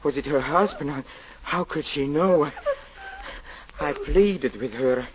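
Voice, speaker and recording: An actor playing a haunted, grieving European husband confessing a tragedy, halting, stammering and pleading in theatrical 1940s radio drama style. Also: male voice, fairly high pitch. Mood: frantic, pleading. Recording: muffled, noisy old radio recording.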